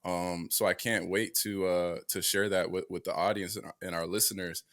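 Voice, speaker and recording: A man's voice: low-pitched, steady tone, moderate pace, a bit bright. A young man hosting a casual sports podcast, talking with many fillers and restarts. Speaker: podcast host.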